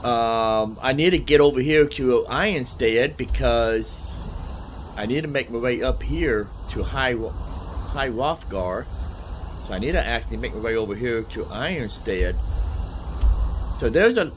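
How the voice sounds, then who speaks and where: A man's voice: mid-range voice, animated, a little slow, background noise. A man giving casual gameplay commentary as a streamer, thinking aloud in a rambling way and often repeating himself. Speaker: gaming streamer.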